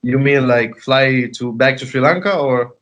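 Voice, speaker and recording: A man's voice: medium pitch, unhurried, a bit bright, muffled recording. A man in his twenties from Tanzania, a bilingual rapper and native Sinhalese and Swahili speaker, talking casually as an interview guest. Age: 20s.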